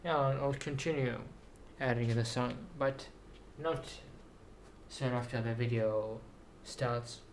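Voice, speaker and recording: Man, halting and unhurried, slightly ill with sore throat, rambling vlog delivery, mid-low pitch range not required, light noise behind. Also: steady tone. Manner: halting, repeats words. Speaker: writer introducing and reading own story. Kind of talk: slow rambling video monologue.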